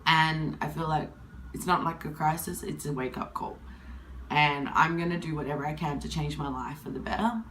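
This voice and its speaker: A woman in her twenties with a husky voice from hay fever, talking candidly to camera in a rambling, casual way. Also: low voice, fairly even intonation, normal speed, fairly bright tone, slight background noise, low-quality recording. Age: 20s. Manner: candid, rambling, with many fillers. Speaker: vlogger.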